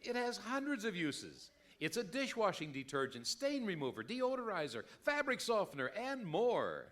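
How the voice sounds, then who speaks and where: An actor playing an enthusiastic announcer reading a mock old time radio commercial. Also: male voice, sits high, wildly swooping pitch, normal speed, fairly bright tone, heavily acted. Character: smooth-selling, enthusiastic male radio pitchman.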